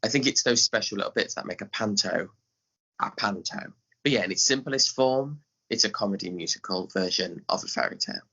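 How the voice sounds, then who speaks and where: A man's voice: on the low side, a little fast, creaky, pushed and bright, slightly rough. An English man speaking as an interview guest, explaining things in a chatty, informal way. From Skegness, England. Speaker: podcast guest, theatre director. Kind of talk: casual explanatory chat. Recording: dull, lo-fi audio.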